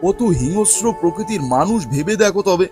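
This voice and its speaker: A man's voice: high voice, animated, normal speed, music in the background. A man voicing a sympathetic, indignant village neighbour in a cartoon, earnest in manner. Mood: pitying, shocked. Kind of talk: cartoon voice acting.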